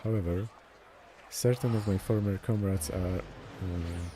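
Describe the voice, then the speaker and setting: Deep-voiced man reading game dialogue aloud. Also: measured pace, noisy background. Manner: stumbles over words and repeats them. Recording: music behind the voice.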